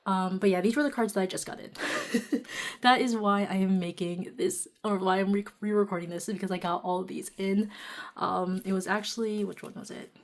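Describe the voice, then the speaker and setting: A woman vlogger chatting casually and a little quietly while sitting down at home, with lots of fillers and laughs. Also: mid-range voice, steady tone, fairly quick. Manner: talking softly while seated. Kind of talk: casual chatty vlog narration. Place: indoors at home, seated.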